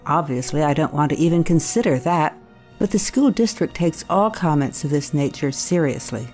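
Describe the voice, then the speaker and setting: A low-pitched female school staff member, played by an actress, speaks in a measured, coaxing way. She is concerned and probing, in an audio drama with music underneath. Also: lively intonation, darkish tone. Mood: cautious, concerned.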